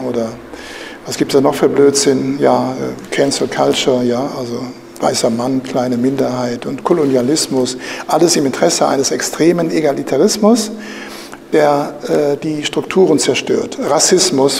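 A man's voice: fairly high pitch, gravelly, a little mellow. An older man giving an opinionated lecture from a lectern, with frequent fillers and tag questions. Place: bright lecture room, indoors. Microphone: studio mic on a stand at a lectern. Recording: reverberant room, light hiss.

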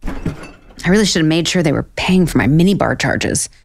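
A wry, low-voiced woman author. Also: animated, moderate pace, creaky, slight background noise. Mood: wry, casual. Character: wry, foul-mouthed woman author on book tour. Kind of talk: naturalistic audio drama acting.

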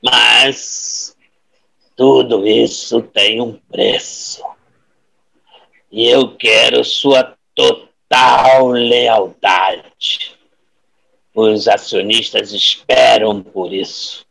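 An actor playing a menacing, calculating crime boss in an audio drama, speaking deliberately and with authority. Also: male voice, medium pitch, fairly even intonation, vocal fry, pushed and bright, slightly rough, full character voice, rough recording. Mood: commanding.

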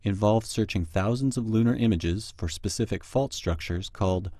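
A man's voice: fairly low pitch, normal speed, mellow, no echo, studio quality. A man reading scripted documentary narration about research.

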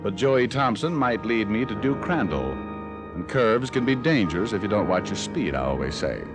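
An American actor playing a wisecracking hardboiled private detective in a mid-century radio drama, dry and deadpan. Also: male voice, on the low side, moderate pace. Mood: deadpan narration. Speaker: lead actor and narrator in a detective radio serial. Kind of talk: 1950s American radio acting, first-person narration. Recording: old radio broadcast with music.

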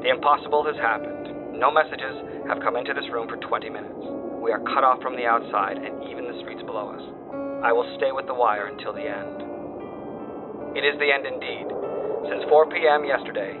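A man's voice: medium pitch, fairly quick, over loud music. A man playing a grave wire reporter of doom, reading dramatic news bulletins in a horror audio drama through a radio-like filter. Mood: resigned dread. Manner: solemn, dramatic emphasis. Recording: thin, phone-like radio transmission.

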